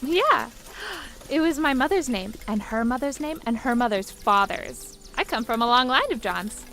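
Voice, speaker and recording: A woman playing a brisk, easygoing caravan leader in a fan audio drama, folksy and businesslike. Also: fairly high pitch, lively intonation, fairly bright tone, soft background music.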